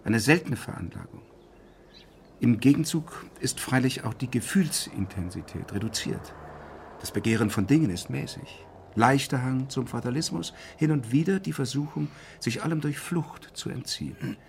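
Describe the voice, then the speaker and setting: An actor playing a self-assured, eccentric male doctor in a radio crime drama, giving clinical pronouncements with deliberate pauses. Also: mid-range voice, lively intonation, gravelly, slight background noise. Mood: confident, musing.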